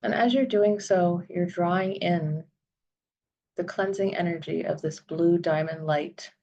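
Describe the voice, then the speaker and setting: Female meditation guide: very slow, soothing, lowish voice, long pauses, slightly muffled lo-fi recording. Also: a little mellow, slight background noise.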